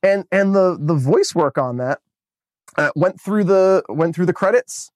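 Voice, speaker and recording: Rambling, excited American man on a podcast. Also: high voice, measured pace, dry recording, clean studio sound. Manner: enthusiastic, rambling with many fillers and restarts. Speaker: podcast co-host. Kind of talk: casual movie review chat.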